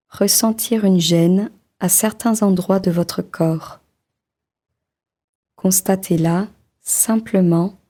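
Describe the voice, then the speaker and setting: A woman leading a guided body-scan meditation in a slow, soft, soothing voice with long pauses. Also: medium pitch.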